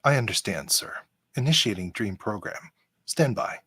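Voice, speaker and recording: A man's voice: fairly low pitch, animated, normal speed, fairly bright tone, slightly rough, dry recording. A man playing a polite, literal-minded android officer in a live radio-theatre reading, formal and precise. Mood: calm, analytical. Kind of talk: podcast live script reading.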